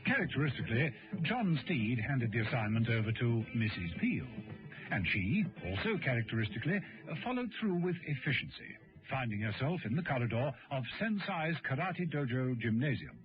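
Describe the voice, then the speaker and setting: A man narrating a spy adventure radio drama in a measured, dramatic storytelling style. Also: mid-range voice, very animated, close to an everyday voice. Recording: dull old radio recording, music.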